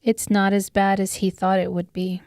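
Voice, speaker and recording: A woman's voice: medium pitch, completely flat delivery, normal speed, darkish tone, no room sound, studio quality. A woman reading scripted, dramatic audiobook narration of a story.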